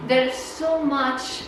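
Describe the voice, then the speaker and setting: A woman with a Czech accent giving a casual, rambling slide talk to a naturalist club, full of fillers. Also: high voice. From Czech Republic. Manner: chatty, rambling, with fillers and laughs. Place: meeting room. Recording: echoey room, voice from far off, noisy.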